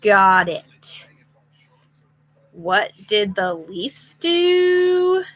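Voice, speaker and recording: A woman's voice: mid-range voice, big pitch swings, extremely slow, fairly bright tone. A woman with a Canadian accent, co-hosting a casual live chat and reading out comments in a chatty, apologetic way.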